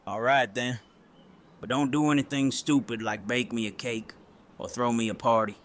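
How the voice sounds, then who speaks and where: A man acting a gruff, guarded survivor with a curt, dryly sarcastic manner in an audio drama. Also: medium pitch, fairly even intonation, moderate pace, slight background noise, lightly acted. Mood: wary, dry. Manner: curt, dry.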